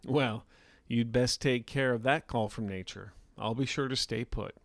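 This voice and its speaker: A man's voice: medium pitch, a little slow, vocal fry, no room sound. A man voicing a rugged, polite and wry frontier gunslinger with a folksy, drawling manner in an audio story. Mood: amused, easygoing. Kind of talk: scripted audio fiction storytelling.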